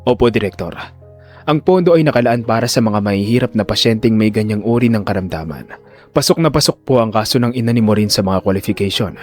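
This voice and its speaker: A man's voice: fairly low pitch, quick pace, faint music behind, close to an everyday voice. A man voicing a resolute male neurosurgeon in an audio drama, formal and respectful but firm and defiant. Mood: earnest, firm.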